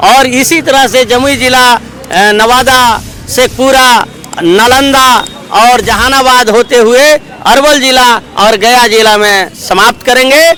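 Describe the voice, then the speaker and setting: A man's voice: extremely high pitch, slow pace, bright, forceful tone. A man, a Buddhist monk giving a repetitive, list-like report in a street interview.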